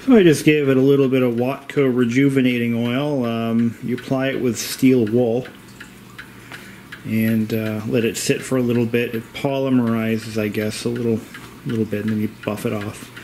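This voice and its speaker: A man narrating a vintage radio repair in a casual, rambling, halting and plain-spoken way, as a hobbyist vlogger. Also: medium pitch, flat delivery, darkish tone. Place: indoors in a home. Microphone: handheld camera, operator behind it. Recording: clear background room noise.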